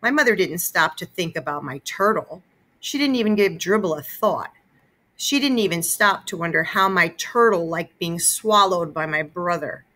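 A woman narrates a children's story expressively. Her voice sits a little low, with a bright, forward edge. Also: lively intonation, normal speed. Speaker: read-aloud narrator.

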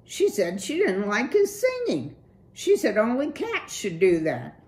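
A woman's voice: mid-range voice, huge pitch swings, measured pace, darkish tone, voice pushed up. A woman voicing a cool, slang-talking young male city mouse with a laid-back, breezy drawl. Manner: laid-back surfer slang, keeps saying "dude".

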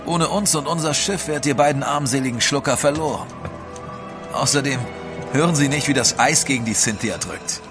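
A male actor as a blunt, practical seafarer in a radio adventure. He is matter-of-fact with a scornful edge, in a middle-pitched voice over music. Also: normal speed, close to an everyday voice. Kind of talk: German radio drama acting.

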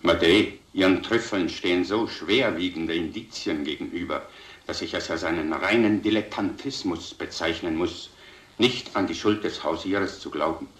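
A man plays an authoritative police commander in a vintage crime film. His very low voice is formal and dismissive. It was recorded with a roomy echo. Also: normal speed, lightly acted. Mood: stern, dismissive. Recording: roomy old film soundtrack.

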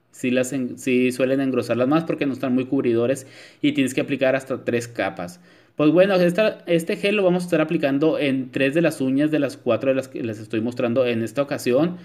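A man's voice: mid-range voice, darkish tone. A man narrating a nail tutorial in a chatty voice-over, with frequent filler words. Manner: chatty, many filler "este", addresses viewers as "chicas". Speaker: nail technician.

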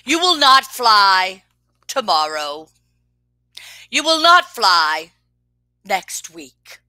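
A woman's voice: medium pitch, sing-song, bright, forceful tone, no echo, voice pushed down, heavily acted. A woman voicing a skeptical, fussy, dramatic elephant with emphatic delivery in a children's storybook read-aloud. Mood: flatly doubtful. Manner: emphatic, deliberate, melodramatic.

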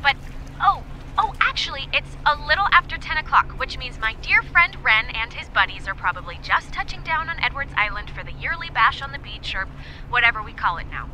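Bright, high-voiced teenage radio DJ girl. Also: lively intonation, brisk, a little hiss or hum, heavily acted, poor audio. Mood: breezy, chatty. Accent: American. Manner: chatty, casual, breezy rambling. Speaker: student radio DJ. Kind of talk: casual radio announcing in a video game.

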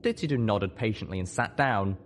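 A narrator reading a scripted story in the first person, with measured, expressive delivery. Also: medium pitch, a little hiss or hum. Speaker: audiobook reader.

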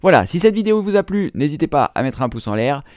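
A man's voice: on the high side, sing-song, fast, a little mellow, no room sound, studio quality. A man in his thirties hosting a computer tutorial, explaining step by step with patient fillers. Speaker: computer training host. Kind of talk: screen-recorded tutorial.

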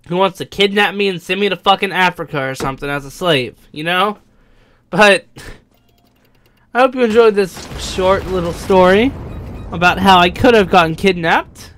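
A man's voice: high voice, a bit bright, dry recording. A young man with an American accent telling a personal story in a casual, rambling, sweary way. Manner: rambling, profane. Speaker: YouTube storyteller. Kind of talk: casual storytime over gameplay.

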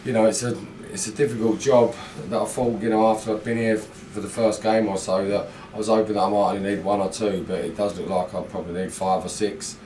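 A middle-aged football manager talks bluntly about a loss, pausing on fillers in a low, flat voice. He is recorded in a small room that rings with echo, heard from a mic set back from him. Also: recorded from a distance, a little hiss or hum. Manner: frustrated, blunt, rambling with fillers. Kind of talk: post-match interview. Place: small indoor club room.

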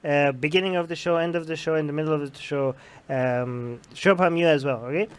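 A man's voice: fairly high pitch, fairly quick, smooth. A man hosting a casual livestream from a small room at home on a headset mic. He teases, laughs often and repeats himself. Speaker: show host. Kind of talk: casual livestream chat, teasing.

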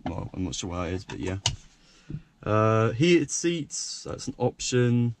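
Young man, croaky and casual. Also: on the low side, sing-song, unhurried, noisy background. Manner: rambling, filler-heavy. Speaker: car vlogger. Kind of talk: casual point-of-view car walkaround. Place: parked car by a country lane, outdoors and inside the car. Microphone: action camera held by hand.

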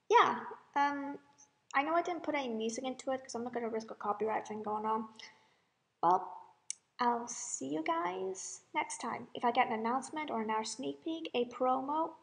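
Rambling young woman, high-pitched casual chat. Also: creaky. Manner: rambling, self-deprecating. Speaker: amateur cover singer and channel host. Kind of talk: casual chatty vlog.

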